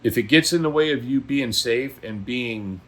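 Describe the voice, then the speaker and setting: A man's voice: medium pitch, normal speed, fairly bright tone. A middle-aged or older man, a trucker talking casually to viewers in a blunt, folksy, opinionated and emphatic way. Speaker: trucker and video blogger. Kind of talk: casual vlog monologue.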